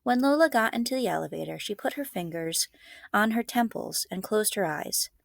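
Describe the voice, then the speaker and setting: A woman, a librarian, reading a children's storybook aloud in an expressive storytelling style. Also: mid-range voice, moderate pace, creaky, dry recording, clean studio sound.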